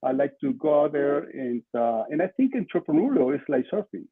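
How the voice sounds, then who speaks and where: Older male podcast guest, Peruvian Spanish accent, slightly high-pitched, conversational and emphatic, phone-like video-call sound. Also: sing-song, brisk, slightly rough. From Lima, Peru. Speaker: podcast guest, marketing entrepreneur. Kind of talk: conversational interview answers. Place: small room at home, indoors. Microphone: video call, computer mic at desk distance. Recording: muffled, lo-fi video call audio.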